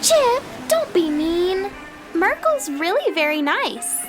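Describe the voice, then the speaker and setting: A woman's voice: extremely high pitch, very animated, takes it slowly, music in the background, exaggerated voice. A woman playing a kind, earnest little schoolgirl in a children's audio story, with an eager childlike manner. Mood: earnest, firm.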